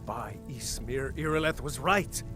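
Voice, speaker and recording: An actor playing a stern, resolute jarl in a fantasy video game, speaking in a commanding, deliberate way. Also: male voice, medium pitch, close to an everyday voice. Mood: grave. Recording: music behind the voice.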